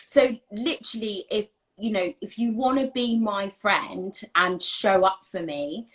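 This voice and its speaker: A woman's voice: mid-range voice, measured pace. A woman appearing as a guest on a live-stream conversation about anti-racism work, speaking earnestly and emphatically and repeating key words for weight. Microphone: phone or webcam on a live stream.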